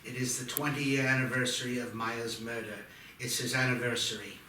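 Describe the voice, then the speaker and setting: A man's voice: mid-range voice, little pitch movement, fairly quick, pushed and bright, natural voice. A man at a screenplay table read playing an earnest, insistent psychiatrist and war veteran in his fifties. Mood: grave, insistent. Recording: noisy, echoey room, distant mic.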